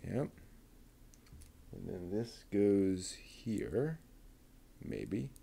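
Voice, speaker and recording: A man talking himself through assembling a model kit, muttering and pausing between steps. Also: lowish voice, big pitch swings, creaky, dark tone, husky. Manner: slow, halting, with many pauses. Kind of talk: casual build narration.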